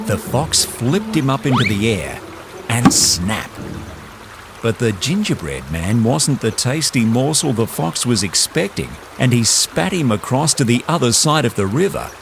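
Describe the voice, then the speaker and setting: A man's voice: medium pitch, big pitch swings, unhurried, vocal fry, noisy background. A man narrating a scripted children's story as its storyteller.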